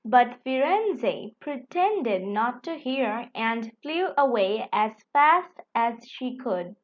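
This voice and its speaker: Lady reading a kids story, high voice, takes it really slow, bit muffled sounding. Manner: deliberate, expressive delivery. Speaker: storyteller reading a picture book aloud. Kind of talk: animated children's read-aloud narration.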